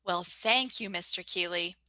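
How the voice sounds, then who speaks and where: A woman's voice: on the low side, unhurried, bright, forceful tone, no echo. A woman playing a chatty, gushing Hollywood reporter delivering 1940s-style radio advertising patter. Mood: gushing, friendly.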